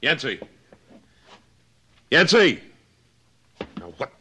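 A man's voice: mid-range voice, big pitch swings, very slow, bright, forceful tone, breathy edge, exaggerated voice. An actor playing a tough cattle rancher and former cavalryman who talks in a blunt, pressing way in a 1950s radio western. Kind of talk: 1950s radio drama acting.

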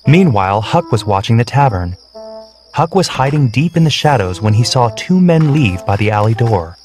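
A man reading a children's storybook, clear and measured. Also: mid-range voice, expressive. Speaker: narrator. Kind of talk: scripted storybook narration. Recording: soft background music.

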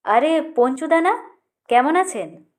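A woman's voice: high voice, expressive, takes it slowly, voice pushed up. A woman voicing a playful man in a story who bluffs strangers with breezy, polite familiarity. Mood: teasing.

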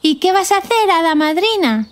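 A woman's voice: very high voice, big pitch swings, normal speed. A woman voicing a cheerful, curious little witch girl in a children's cartoon, with a Castilian Spanish accent. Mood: puzzled. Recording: children's music behind the voice. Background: children's background music.

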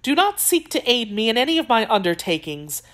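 An anxious, guarded young lady from a classic adventure novel, performed by a woman narrator. Her voice sits high, and she speaks formally and emphatically, with an imperious edge. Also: sing-song, fairly quick, fairly bright tone. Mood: earnest pleading.

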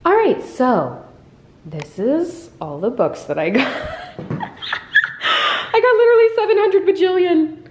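Excitable young woman with swooping pitch. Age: young adult. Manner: excitable, gushing, gasping exclamations. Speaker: vlogger. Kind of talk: casual shopping vlog. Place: indoors, bookstores and an empty room at home. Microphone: handheld camera at arm's length. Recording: noticeable background noise.

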